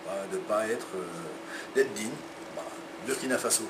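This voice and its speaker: Hesitant french-born man, low, roomy. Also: moderate pace. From France. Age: 50s. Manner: hesitant, many fillers and restarts. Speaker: book publisher. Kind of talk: interview answers. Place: his office. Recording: roomy, far from the mic, loud ambient noise.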